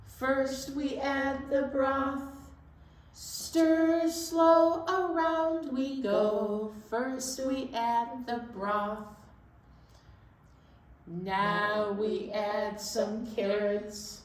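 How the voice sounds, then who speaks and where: A woman hosting a children's library storytime in a sing-song style, with simple singing and gentle chat. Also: high voice, steady tone, extremely slow. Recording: roomy echo, distant microphone.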